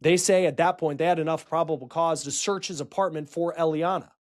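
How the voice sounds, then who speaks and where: A man hosting a true-crime report, explaining a case in a storytelling news style with dramatic, emphatic pauses. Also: on the high side, fairly even intonation. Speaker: true-crime show host.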